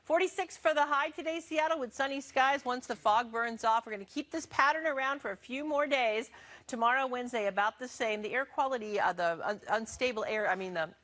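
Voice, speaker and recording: A woman with a fairly high voice gives the morning weather. Her delivery is informal and conversational, talking through the forecast off the cuff. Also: fairly quick, a bit bright.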